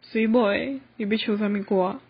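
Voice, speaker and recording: A woman's voice: medium pitch, steady tone, moderate pace, breathy edge. A young woman with a Taiwanese accent voicing a doting middle-aged husband who sweet-talks his wife in an amateur radio play. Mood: fond, wistful. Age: young adult. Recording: muffled, distant, noisy lo-fi recording.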